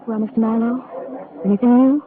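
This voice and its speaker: A woman acting a genteel, lovelorn married woman in a 1940s radio melodrama, earnest and halting in her pleading. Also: a little high, steady tone, close to an everyday voice. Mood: wistful, grateful. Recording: tinny, noisy old radio broadcast.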